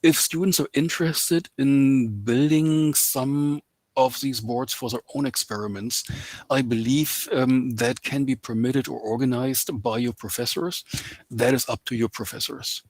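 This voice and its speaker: A man giving a guest lecture over a video call, speaking English as a second language, filling pauses with lots of ums. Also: medium pitch, fairly even intonation, a little mellow, no room sound. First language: not English. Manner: hesitant, many ums and uhs. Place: home in Canada.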